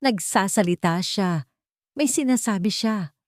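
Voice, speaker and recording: Woman acting a desperate, pleading heroine, highly animated. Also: measured pace, dry recording, clean studio sound, natural voice. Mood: urgent, determined. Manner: pleading, insistent. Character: desperate, brave young woman interpreter pleading for justice.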